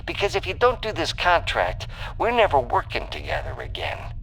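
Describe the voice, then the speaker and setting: A man performs a pushy, scornful male broker for an audiobook. The voice is highish and creaky, swooping with smug impatience, with a little noise behind it. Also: huge pitch swings, moderate pace, a bit bright, heavily acted, poor audio. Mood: smug, threatening.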